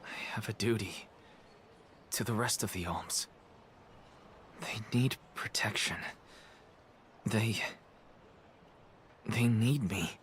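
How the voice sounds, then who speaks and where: A man voicing an earnest young guardian hero in a video game, halting and weighed down by guilt. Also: fairly low pitch, a bit bright, slight background noise. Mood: anguished, guilty. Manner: halting, heavy-hearted. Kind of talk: video game cutscene acting.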